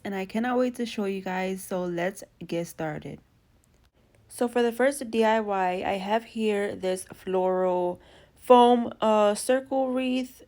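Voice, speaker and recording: A woman's voice: medium pitch, darkish tone. Stuffy-nosed chatty craft vlogger woman. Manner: chatty and gushing, addresses viewers as friends. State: stuffy from allergies. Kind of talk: DIY tutorial narration.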